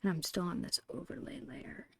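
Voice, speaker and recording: Woman, ASMR art commentary, whispered, soft and casual, unhurried, close dry recording. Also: no room sound. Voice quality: whispery, breathy, creaky. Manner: soft, unhurried murmuring, thinking aloud. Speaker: ASMR digital art streamer. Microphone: close microphone, dry.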